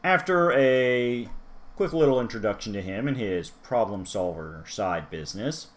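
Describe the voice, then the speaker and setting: A man hosting a casual manga review, talking chattily with asides to the viewer. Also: medium pitch. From United States. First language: English. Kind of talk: casual solo review talk.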